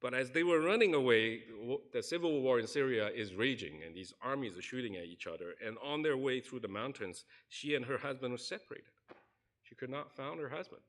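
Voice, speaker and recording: A man's voice: mid-range voice, brisk, a bit bright. A man preaching a sermon in a church, earnestly telling a story and acting out the people in it. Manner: earnest, recounts stories and acts out quoted people. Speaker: pastor. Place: church sanctuary.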